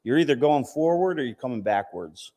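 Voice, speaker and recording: An American man gives an informal religious talk in a conversational manner, often checking in with listeners. His voice sits at a middling pitch for a man. It rings with the long reverb of a hall. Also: animated, a little fast, dark tone. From United States, Southern family from West Virginia, partly raised in Palestine. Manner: conversational, punctuated with "you know" and "right?" checks. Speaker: Islamic teacher giving a talk.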